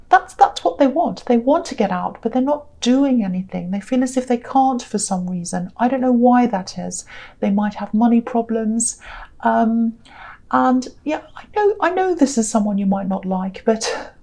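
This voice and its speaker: A woman with a tired, croaky voice giving a gentle, rambling tarot reading to her channel's viewers, often saying "you know" and "um". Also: on the high side. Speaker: tarot reader. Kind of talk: casual tarot reading monologue.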